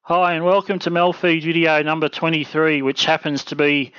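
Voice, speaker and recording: A man's voice: a little high, normal speed, clean tone, dry recording, lo-fi sound. A man hosting a community web stream from his home office, talking casually with plenty of ums. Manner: relaxed, rambling, many ums. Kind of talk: casual to-camera announcement.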